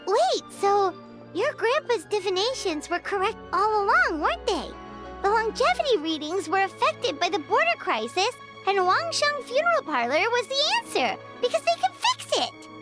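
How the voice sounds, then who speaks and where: A woman voicing a small, eager fairy companion in a video game, with a high squeaky voice and an excitable, curious manner. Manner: excitable and curious, quick to exclaim. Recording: game cutscene with music behind.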